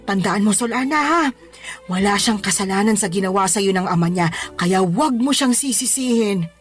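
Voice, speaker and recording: A woman's voice: medium pitch, lively intonation, normal speed, husky, faint music behind. An actress playing a loving, teasing, plain-spoken older Filipino mother and grandmother in a radio drama, emphatic in her motherly advice. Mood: stern, earnest. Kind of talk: Tagalog radio drama acting.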